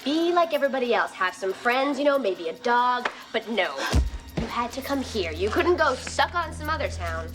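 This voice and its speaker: A defiant young vampire slayer with a fairly high voice. She is sardonic and quippy, in a TV drama soundtrack with music underneath. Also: very animated, normal speed. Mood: wry defiance. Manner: wry, sardonic.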